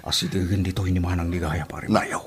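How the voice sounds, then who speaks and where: An actor with an Ilocano accent playing an excitable, lovestruck married tricycle driver in a radio comedy drama, talking in an exaggerated, smitten way. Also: male voice, low voice, fast, heavy background noise, lightly acted.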